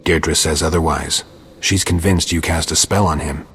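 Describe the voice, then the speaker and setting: A stoic, gruff monster hunter from a fantasy game. The voice is very low and heavily rasped, the delivery terse and deadpan. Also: male voice, normal speed, heavily acted. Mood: dry, stoic. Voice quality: gravelly, husky. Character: gruff, stoic male monster-hunting witcher. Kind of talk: video game dialogue acting.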